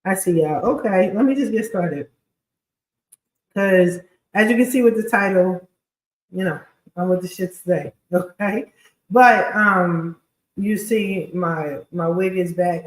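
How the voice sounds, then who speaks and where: Casting: female livestream host, scratchy voice, informal and blunt chatty delivery, recorded in an echoing space. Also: fairly low pitch. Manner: informal, blunt, slangy talk. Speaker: podcast host and credit repair specialist. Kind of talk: casual chatty livestream monologue.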